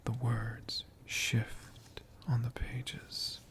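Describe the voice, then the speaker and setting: Very slow, creaky whispering storyteller. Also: mid-range voice, monotone. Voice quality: breathy, mostly unvoiced whisper. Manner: slow, hushed, dramatic phrasing with long pauses. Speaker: ASMR storyteller.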